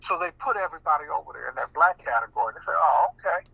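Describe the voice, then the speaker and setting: A man talking on a radio show in an animated, folksy way, often repeating words as he goes. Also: a little high, racing, vocal fry, breathy edge, no echo, lo-fi sound. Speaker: guest speaker.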